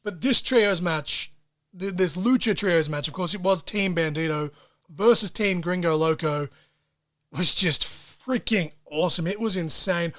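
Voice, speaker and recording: High-voiced excitable man. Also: unhurried. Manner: excitable and profane, wrestling-fan slang. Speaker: podcast host. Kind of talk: solo podcast recap of wrestling shows.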